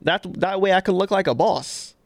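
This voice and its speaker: A man's voice: high voice, moderate pace, dry recording, clean studio sound. A man co-hosting a podcast, chatting casually in a playful, boastful, teasing way. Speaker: podcast co-host. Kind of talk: casual banter.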